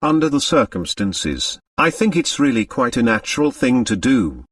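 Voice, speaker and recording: A man's voice: mid-range voice, creaky, no echo, far from the mic, full character voice. Man voicing a serene, detached woman in her thirties. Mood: tolerant. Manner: calm, measured, detached.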